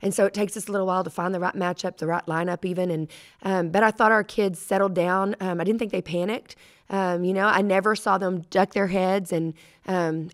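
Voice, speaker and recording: A middle-aged woman coach answering press questions at a table mic, measured and full of ums and restarts. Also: on the low side. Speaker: head basketball coach. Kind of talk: post-game press conference answers. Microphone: desk mic on a stand.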